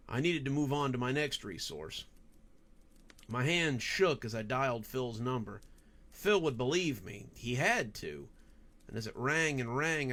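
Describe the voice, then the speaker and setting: A man's voice: mid-range voice, a bit bright. Man narrating a horror story. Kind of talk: scripted first-person audiobook narration.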